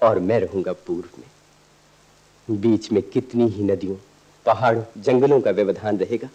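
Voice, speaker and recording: A man's voice: lowish voice, measured pace, mellow, slightly rough, highs cut off. A man playing an earnest, resolute idealist lover in a radio play, with solemn, poetic, declamatory delivery. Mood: tender, wistful. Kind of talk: stage-like radio play acting.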